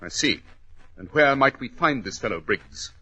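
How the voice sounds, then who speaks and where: An actor playing a keen, superior gentleman detective in 1940s radio drama, brisk and precise in manner. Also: mid-range voice, expressive, husky. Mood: coolly probing. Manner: incisive, dismissive, precise. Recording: muffled 1940s radio recording, faint noise.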